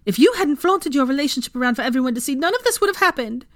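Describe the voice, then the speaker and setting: A woman voicing a desperate middle-aged mother, pleading and high-strung, in an audiobook story. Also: animated, rapid-fire, no room sound, clean studio sound. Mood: angry blame.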